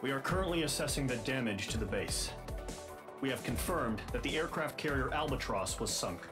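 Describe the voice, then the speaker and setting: Male actor as sober commander, mid-pitched, measured formal game briefing, music underneath. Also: expressive, natural voice. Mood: grave. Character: sober military commander giving a debriefing. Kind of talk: scripted video game briefing.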